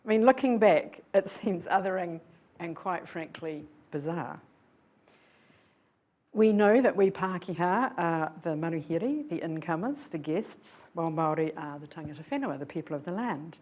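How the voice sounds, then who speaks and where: A woman's voice: fairly low pitch, lively intonation, moderate pace, a little mellow. An older woman from New Zealand giving an earnest, reflective public lecture, often posing rhetorical questions to her audience. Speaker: guest lecturer. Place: lecture hall.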